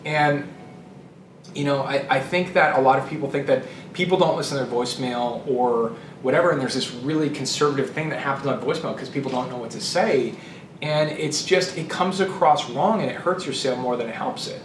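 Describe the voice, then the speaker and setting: A man's voice: medium pitch, a little fast. A man giving conversational sales advice as a trainer and host, blunt and emphatic, repeating phrases for stress. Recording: echoey room, distant mic, noisy.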